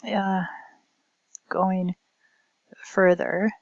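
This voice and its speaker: A woman giving a recorded academic presentation as a language instructor, speaking in a halting, hesitant way. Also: medium pitch, flat delivery, vocal fry, no echo, highs cut off.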